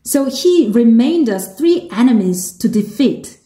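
Woman, Korean accent, sermon interpreter, halting restarts, mellow tone, reverberant church hall. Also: fairly high pitch, lively intonation. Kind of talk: live English interpretation of a sermon.

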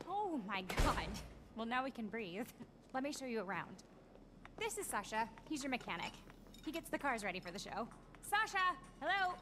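An actress playing a frazzled, resentful young production assistant with an awkward, sarcastic way of talking in a video game. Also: female voice, sits high, sing-song, moderate pace, noisy background. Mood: relieved, upbeat.

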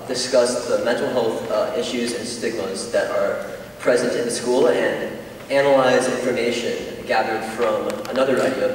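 A teenage male student giving a presentation in a halting way in a large hall. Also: medium pitch. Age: teens. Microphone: far camera. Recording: very echoey hall, distant, faint noise.

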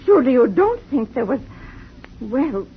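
A flustered older spinster, tearful and fussy as she talks. Her voice is high and carries a faint hiss on a dull, lo-fi 1950s radio recording. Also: big pitch swings, moderate pace. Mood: distraught. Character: fluttery distraught older spinster friend. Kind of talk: 1950s radio drama acting.

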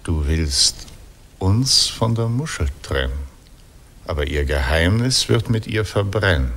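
An actor playing a mature, gently ironic Greek hero and husband in a radio play, courtly and sometimes halting. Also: male voice, sits low, lively intonation, a little hiss or hum, full character voice. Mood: resigned, grave.

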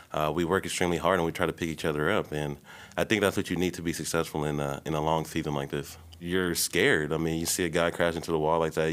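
Very deep-voiced young man, press conference. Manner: relaxed, many ums and you-knows. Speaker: baseball player. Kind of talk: post-game press conference. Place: press room before a logo backdrop. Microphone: desk mic on a stand.

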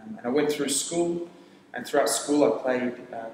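A man's voice: mid-range voice, a little mellow, a little hiss or hum. A young man who grew up in Papua New Guinea, speaking Tok Pisin as his first language, casually explaining his startup in an interview and rambling through lists with frequent restarts. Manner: rambling, restarts phrases, lists ideas rapidly. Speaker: startup founder. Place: studio set with armchairs. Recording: echoey room with long reverb.

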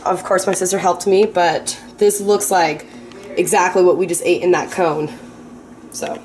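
A woman's voice: fairly low pitch, flat delivery, measured pace, a little mellow, soft background music. Bubbly young woman cooking at home. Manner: bubbly and dramatic. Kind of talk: casual cooking vlog. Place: home kitchen. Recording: echoey room with faint ambience.

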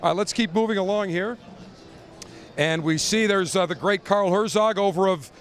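A middle-aged man hosting a live walkthrough of a trade show, joking and bantering brashly with guests into a handheld mic in a large convention hall. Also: high-pitched. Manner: brash, joking, fast-talking showman. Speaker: radio show host touring a trade show. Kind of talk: live roving interviews and walkthrough. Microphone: handheld interview mic.

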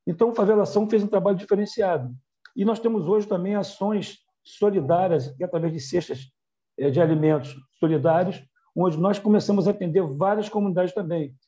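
A man's voice: high-pitched, a little fast, warm tone. A middle-aged man with a Rio de Janeiro accent, a community leader giving a hesitant thank-you speech over a video call. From Rio de Janeiro, Brazil. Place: small room on a video call. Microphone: wired earphone mic. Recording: dull, lo-fi video call audio.

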